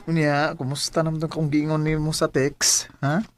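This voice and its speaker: A man's voice: fairly high pitch, flat delivery, normal speed, dry recording, natural voice. An actor playing a smitten, playful young ladies' man in a Bisaya radio drama, with a Filipino accent. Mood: tender, eager.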